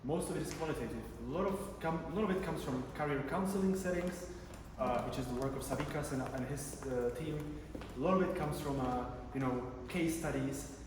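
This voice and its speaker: A man's voice: fairly high pitch, a little fast, hardly any pauses. Man presenting in an echoey hall. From Czech Republic. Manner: conversational, many fillers and restarts. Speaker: conference presenter, PhD researcher. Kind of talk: academic conference talk. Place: large conference room. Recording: echoey hall from far off, room noise.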